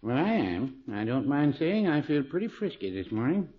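A man acting a mild, fussy older small-town pharmacist in a 1950s radio comedy, dry, folksy and deadpan. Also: on the high side, moderate pace. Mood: pleased, droll. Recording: dull old radio recording.

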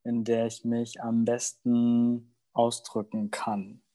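A young man who grew up in Germany, a university student answering interview questions in a relaxed, casual way. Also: mid-range voice, slow. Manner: relaxed, with many fillers. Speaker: guest.

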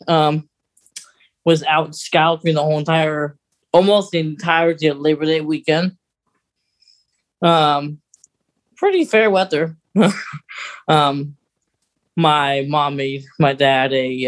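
A podcast co-host chatting casually in a hesitant, rambling way. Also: mid-range voice. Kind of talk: casual chat.

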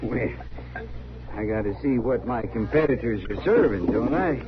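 A man's voice: medium pitch, animated, normal speed, dull audio, natural voice. An actor playing a mean, swaggering saloon keeper in a 1950s radio western, sly and taunting as he talks. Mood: breezy, swaggering. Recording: band-limited 1950s radio recording.

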